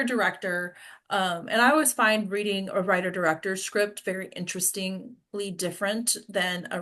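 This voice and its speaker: A woman's voice: on the low side, pushed and bright. Woman leading a casual group discussion. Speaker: host of an acting discussion.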